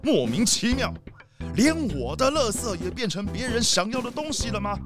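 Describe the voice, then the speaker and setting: A man's high, swooping voice a stingy, self-important father in a children's radio play. He blusters and grumbles with suspicion, over background music. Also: very animated, moderate pace. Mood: suspicious, huffy. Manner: blustering, complaining. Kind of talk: children's audio drama acting.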